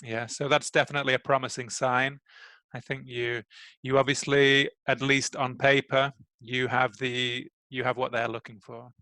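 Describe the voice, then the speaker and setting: A man's voice: mid-range voice, flat delivery, moderate pace, bright, forceful tone, dry recording. A man coaching a student for a job interview in English on a video call, speaking patiently and encouragingly. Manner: patient, encouraging, carefully paced explanations. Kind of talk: tutoring conversation.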